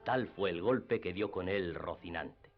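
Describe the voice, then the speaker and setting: A man narrates a classic tale for radio theatre. His voice is low, and the recording is slightly muffled with soft music behind it. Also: unhurried. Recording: dull lo-fi radio drama with music.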